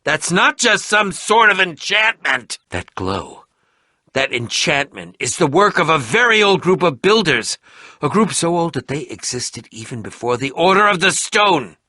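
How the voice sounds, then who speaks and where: A man voicing an excitable, eccentric and pompous adventurer-scholar for a video game, theatrical and grandiose, with dramatic pauses and sudden outbursts. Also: a little high, no room sound, studio quality, full character voice. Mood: intrigued, grandiose. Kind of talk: animated video game character acting.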